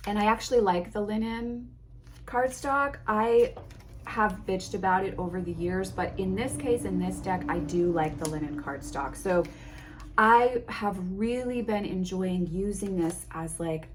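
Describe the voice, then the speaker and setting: A woman's voice: medium pitch, unhurried, a little hiss or hum. A woman chatting casually and effusively to her viewers as a tarot vlogger, a little sniffly from allergies. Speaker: tarot content creator. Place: home.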